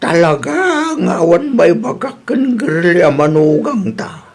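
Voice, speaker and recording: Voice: mid-range voice, a little slow, a crowd in the background. A performer voicing an old husband in a radio comedy drama, exclaiming and easily delighted. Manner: animated, exclaiming.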